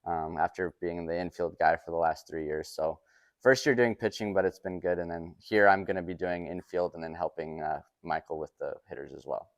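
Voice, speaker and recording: Man in his twenties, low pitch, brisk pace, casual coach talk, dry recording. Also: steady tone, dry recording. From Utah, United States. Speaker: baseball coach. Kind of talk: casual interview answers.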